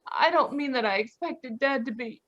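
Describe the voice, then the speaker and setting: A high, feminine voice plays the bereaved daughter of an old radio mystery as acted by a woman. She is polite and distraught, in the melodramatic manner of vintage radio. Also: normal speed, a bit bright, lo-fi sound. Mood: grieving, shocked. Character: distraught, polite grown daughter of murdered man. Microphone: video call.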